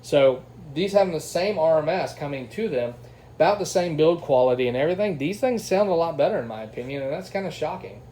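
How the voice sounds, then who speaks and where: A man's voice: fairly high pitch, expressive, fairly quick, dark tone. A man giving a casual, informal and enthusiastic hands-on product review. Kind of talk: casual unboxing and testing review. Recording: clear background noise.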